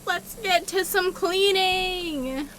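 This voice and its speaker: A young woman streamer chatting casually, excitable, laughing and tripping over her words. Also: extremely high pitch, drawn-out words, background noise. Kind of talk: casual chat on a livestream.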